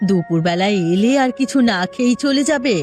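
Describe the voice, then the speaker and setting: A woman's voice: medium pitch, lively intonation, fairly quick, a little mellow, soft background music, lightly acted. A woman acting a caring older mother-in-law. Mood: concerned. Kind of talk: melodramatic cartoon voice acting.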